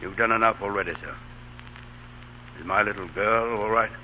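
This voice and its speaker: Male radio drama actor as resigned captive captain, lowish voice, narrow-band vintage recording with faint noise. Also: moderate pace, lightly acted. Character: resigned, courteous condemned captain in prison. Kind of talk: 1930s-40s radio drama acting.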